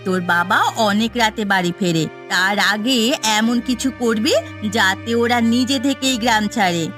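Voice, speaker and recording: A woman's voice: mid-range voice, unhurried, a bit bright, lightly acted. A woman voicing a scheming, spiteful village mother who dotes on her son, conspiratorial and commanding, in a fairy-tale cartoon. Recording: music under the voice.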